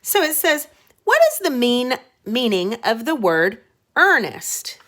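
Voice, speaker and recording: A woman is giving a reading lesson for young children. Her pace is unhurried and her pitch moves up and down a great deal. She explains in plain conversational language, dropping in short questions to check understanding. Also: big pitch swings, fairly bright tone. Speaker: elementary school teacher.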